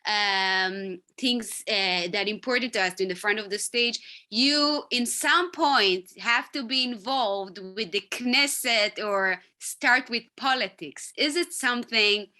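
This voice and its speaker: Woman, halting interviewer, bright voice, video call. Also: mid-range voice. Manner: halting, restarts phrases. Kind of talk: interview questions.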